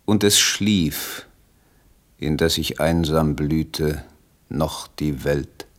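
A male actor in a German radio play voicing a withdrawn, melancholy mad poet, speaking in halting, lyrical reverie. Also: a bass voice, heavily acted. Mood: melancholy, lost.

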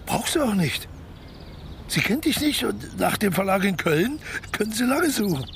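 An actor playing a cocky, smooth-talking con man twin in a radio crime comedy, persuasively laying out his plans. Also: high-pitched, big pitch swings, normal speed, slight background noise, exaggerated voice. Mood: bargaining.

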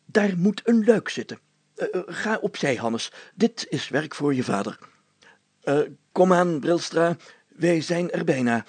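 A man voicing a pompous, excitable mayor in a storybook reading, grandiose and declaiming. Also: on the high side, unhurried, husky, dry recording, voice pushed up, heavily acted. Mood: excited, declaiming. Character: pompous, excitable middle-aged male mayor, theatrical and effusive.

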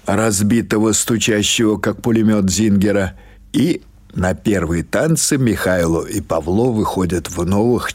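A man's voice: deep voice, husky. A man reading literary fiction aloud as a scripted audiobook narration.

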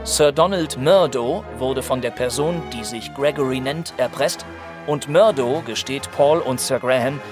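Actor as male narrator recapping a detective radio drama, factual, loud music behind him. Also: medium pitch, a little slow, natural voice. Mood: factual, suspenseful. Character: matter-of-fact narrator of a detective radio play. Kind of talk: scripted crime story recap narration.

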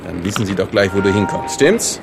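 An actor in a German radio drama playing a domineering Nazi sergeant who bullies with mock-friendly, needling questions. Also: male voice, low-pitched, brisk, creaky, over loud music. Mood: taunting, menacing. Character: menacing Nazi sergeant bullying a child on a train.

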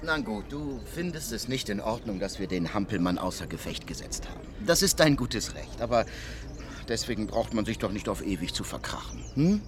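A man's voice: fairly low pitch, sing-song, moderate pace, background noise. An actor playing a self-assured, cajoling man from a parent council in a radio drama, colloquial and insistent.

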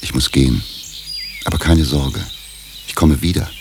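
An actor playing a grumpy, sceptical middle-aged professor hungry for romance in a radio drama. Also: male voice, extremely low pitch, animated, moderate pace, gravelly, a little mellow, a little hiss or hum, exaggerated voice. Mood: hurried.

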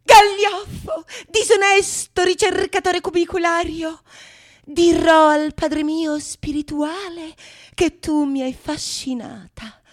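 Shrill, indignant wife, high-pitched. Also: female voice, fairly even intonation, slow pace. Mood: outraged threats. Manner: shrill, melodramatic, repetitive protests. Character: indignant coy married woman refusing a suitor. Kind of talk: stage acting in radio theatre.

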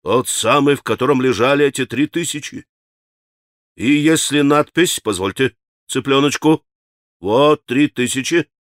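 A man's voice: medium pitch, measured pace, no echo, clean studio sound, close to an everyday voice. A man voicing a frantic, hot-tempered accused man who protests his innocence in an agitated, insistent, breathless way, as in a dramatic audiobook. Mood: bewildered. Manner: agitated, shouting, rambling, repeating himself. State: gasping for breath. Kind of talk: dramatic audiobook character acting.

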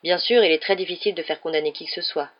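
A woman's voice: fairly low pitch, rapid-fire, dull audio, close to an everyday voice. A woman voicing a weary middle-aged wizard father in a storybook, enthusiastic but sheepish, rambling and stammering when cornered. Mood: weary, explaining.

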